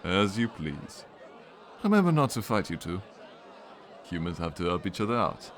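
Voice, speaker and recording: A genial drunk acted by a man in an audio drama. His deep voice rises and falls freely in mock courtesy, over a faint bed of street noise. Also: sing-song, moderate pace, a faint crowd. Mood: amused, mellow. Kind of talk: radio drama acting.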